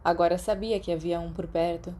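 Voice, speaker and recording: A woman's voice: fairly low pitch, fairly even intonation, fairly quick, mellow. A woman with a Brazilian accent reading scripted fiction as an audiobook narrator.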